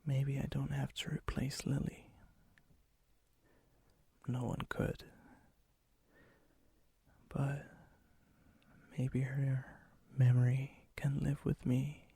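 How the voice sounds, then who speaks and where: A man playing a lonely, remorseful vampire in a whispered ASMR roleplay, with a breathy, gravelly voice and a halting, intimate manner. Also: medium pitch, monotone, warm tone, no room sound, exaggerated voice. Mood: tender, hopeful. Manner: slow, halting, subdued, intimate. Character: lonely, brooding, remorseful vampire, softening toward a stranger.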